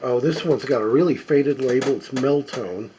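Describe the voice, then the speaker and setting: A middle-aged or older man giving casual, unscripted show-and-tell commentary as a collector, halting and musing aloud as he inspects things. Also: mid-range voice, mellow, slight background noise. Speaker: record collector presenting finds.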